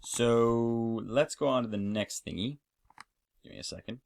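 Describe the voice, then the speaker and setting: A man's voice: medium pitch, measured pace, gravelly, darkish tone, no room sound, studio quality. A man giving casual, rambling, enthusiastic commentary while playing a video game. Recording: music playing behind the voice. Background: video game music.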